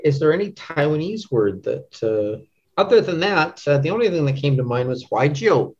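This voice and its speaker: Halting man in a dull lo-fi recording. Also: on the high side, big pitch swings, a little mellow, slightly rough. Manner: halting, thinking aloud, repeats words. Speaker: podcast guest, literary translator. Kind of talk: casual interview chat. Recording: dull, lo-fi audio.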